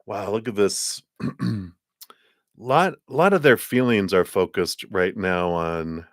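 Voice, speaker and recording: A man's voice: lowish voice, expressive, takes it slowly, dry recording, studio quality. A man hosting a live tarot reading stream, chatting in a rambling, casual way and laughing often, with a tickle in his throat. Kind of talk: casual livestream chat and card reading.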